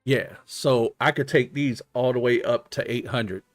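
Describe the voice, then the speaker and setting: Slow-talking man streaming a game. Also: medium pitch, fairly even intonation, no room sound. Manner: thinking out loud, explanatory, hesitant. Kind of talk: casual gaming stream commentary.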